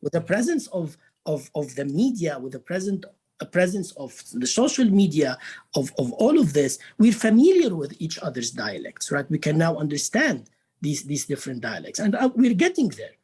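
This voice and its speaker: A man's voice: fairly high pitch, moderate pace, husky. A man with a Lebanese Beirut accent speaking as a guest professor on a conference panel, repeating words and often tagging his points with "right?". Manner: animated, repeats words, frequent tag "right?". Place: conference stage.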